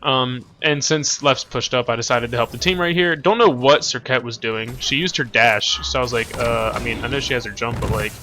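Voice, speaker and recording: A man's voice: medium pitch, a little fast, few pauses, bright, forceful tone, faint music behind. A young man with a stuffy nose, casually commentating on his own gameplay in a rambling way, full of fillers and self-corrections.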